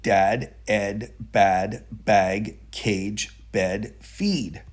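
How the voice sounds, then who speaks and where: A man reading the dialogue of a patient, kindly storybook man who teaches in a gently encouraging way. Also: on the low side, fairly even intonation, very slow. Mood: patient, instructive. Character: patient, kindly man teaching his pet monkey letters.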